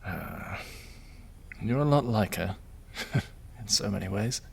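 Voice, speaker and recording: Man playing a weary, gravelly king and father. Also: lowish voice, expressive, slow pace, exaggerated voice. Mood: tender, halting. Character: weary, tender, self-doubting king and widowed father. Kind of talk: audio drama acting.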